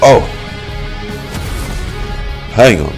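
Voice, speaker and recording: A man giving casual live reactions to a video game, exclamatory, slangy and sweary. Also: very slow. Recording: loud game music behind.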